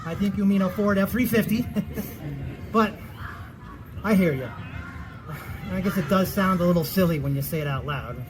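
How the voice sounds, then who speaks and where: An actor playing an anxious, sheepish middle-aged husband with dry weariness in a comic stage play. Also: male voice, high voice, normal speed, pauses often, background noise, natural voice. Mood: sheepish, weary. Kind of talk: stage comedy acting.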